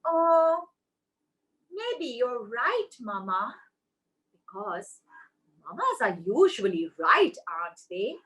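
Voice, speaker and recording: A woman's voice: fairly high pitch, sing-song, slow, long pauses, fairly bright tone, distant mic, full character voice. A woman voicing a small, timid young male squirrel in a children's story, with a shaky, tearful way of pleading. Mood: relieved.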